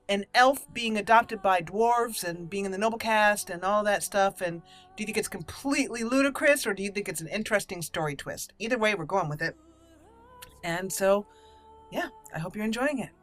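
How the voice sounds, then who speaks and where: A woman's voice: mid-range voice, normal speed, a bit bright, soft background music. A woman doing roleplay let's-play commentary, playful and theatrical in the way she talks to viewers. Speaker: gaming channel host.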